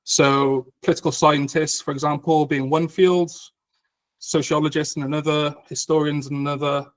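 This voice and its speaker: A man's voice: a little high, little pitch movement, moderate pace. A man in his thirties, a lecturer and podcast guest, explaining his ideas patiently with frequent ums. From Cardiff, Wales. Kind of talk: conversational explanation.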